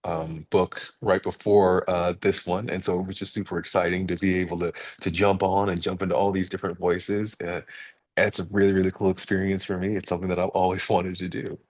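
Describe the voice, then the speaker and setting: A male actor and narrator speaking politely and haltingly on a panel, with many fillers. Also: sits low, little pitch movement, a little mellow, far from the mic. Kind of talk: panel chat.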